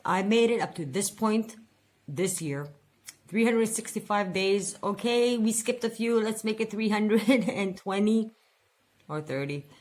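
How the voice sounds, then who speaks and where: A woman's voice: medium pitch, lively intonation, a little slow, darkish tone. A woman in her forties venting and rambling in a casual personal budget vlog, repeating words for emphasis. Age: 40s. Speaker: budget vlogger.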